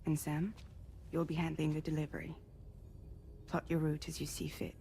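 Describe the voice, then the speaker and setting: A grave woman commander in a video game cutscene. Her voice is low and her delivery measured and authoritative. Prominent music plays behind her. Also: little pitch movement, gravelly, dark tone. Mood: commanding. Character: composed woman commander briefing her crew, grave and steady.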